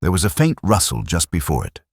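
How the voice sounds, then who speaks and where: A man playing a melancholy police inspector who narrates his case in a measured, literary, reflective way, as in a scripted mystery drama. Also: deep voice, huge pitch swings, dry recording, clean studio sound, full character voice. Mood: pensive, measured.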